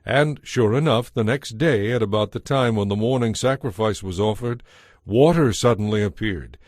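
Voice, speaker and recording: A man narrating a dramatized audio Bible in a measured storytelling manner. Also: on the low side, no room sound.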